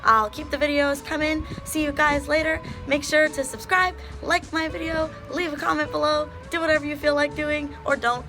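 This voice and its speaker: A woman's voice: high voice, fairly even intonation, moderate pace, few pauses. A young woman greeting viewers playfully in a channel intro and outro. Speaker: skating vlogger. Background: music.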